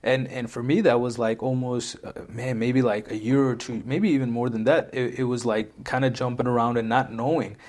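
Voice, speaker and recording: Man, mid-pitched voice, podcast host, casual chat, loose filler-heavy talk. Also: fairly even intonation, moderate pace, hardly any pauses, a little mellow. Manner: conversational, leans on "like" and "right?", repeats words.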